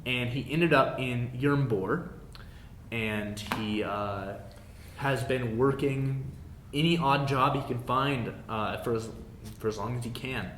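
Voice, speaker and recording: A man narrating a tabletop game as game master in a halting, informal way. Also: mid-range voice, a bit bright. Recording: roomy echo, clear background noise.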